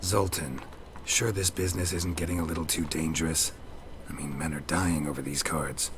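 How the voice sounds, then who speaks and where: An actor playing a gruff, weary male monster hunter in a video game, gravelly and terse with dry delivery. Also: extremely low pitch, fairly even intonation, moderate pace, noisy background. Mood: guarded, dry.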